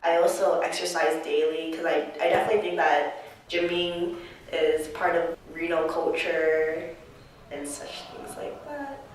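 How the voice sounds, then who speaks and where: Young woman, college student, first language Chinese, low voice, reflective, lots of fillers, echoey room. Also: measured pace. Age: young adult. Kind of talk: casual interview answers. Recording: echoey room, mic far off.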